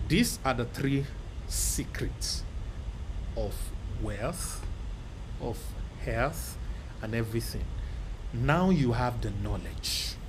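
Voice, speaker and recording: Animated man giving an emphatic motivational talk. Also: mid-range voice, noisy background. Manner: emphatic, repetitive, with sudden loud exclamations. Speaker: motivational speaker.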